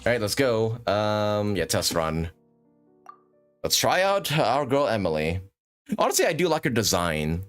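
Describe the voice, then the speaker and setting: A man's voice: on the low side, big pitch swings, measured pace, soft background music. A man hosting a livestream with casual, rambling gaming commentary and frequent chatty asides. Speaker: livestream host.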